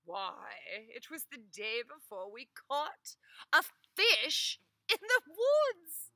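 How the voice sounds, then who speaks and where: A woman voicing an excitable, gossiping peasant wife who exclaims in a high, loud voice. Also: drawn-out words, no room sound, clean studio sound, exaggerated voice. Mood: eager insistent. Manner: shrill, breathless exclamations.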